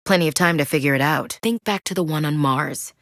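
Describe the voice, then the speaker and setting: A young American woman marine in a cinematic sci-fi game. Her voice sits low for a woman, in a dry, close recording with no room sound. She speaks with blunt military directness, candid and wry. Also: a little fast, fairly bright tone, no room sound. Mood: earnest, steady. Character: tough, candid young female space marine. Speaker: video game voice actor.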